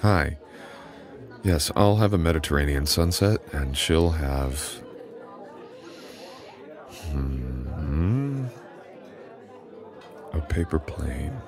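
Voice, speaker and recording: Very deep, gravelly immortal boyfriend acted by a man. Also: animated, crowd noise, full character voice. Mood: warm, teasing.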